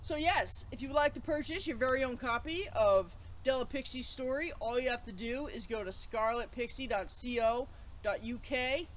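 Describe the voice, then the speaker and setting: A woman hosting a children's story time, chatting playfully to kids and reading aloud in an animated way. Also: on the high side, normal speed, a little hiss or hum.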